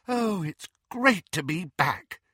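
A man voicing a cheerful, serenely confident teenage girl in an audiobook, with a bright, happy manner. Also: high-pitched, expressive, takes it slowly, slightly rough, dry recording, studio quality, voice pushed up, full character voice.

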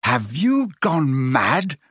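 A man's voice: mid-range voice, lively intonation, normal speed, a bit bright, slightly rough, dry recording, clean studio sound. A man voicing a blunt, sarcastic, exasperated schoolboy wizard in a storybook reading.

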